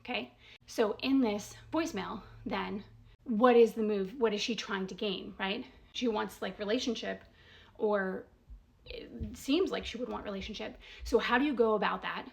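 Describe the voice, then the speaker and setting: A woman's voice: mid-range voice, normal speed, creaky. A woman giving a casual spoken analysis as a commentator, reasoning step by step with emphasis, asking probing rhetorical questions and often checking in with "right?". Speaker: commentator breaking down a voicemail.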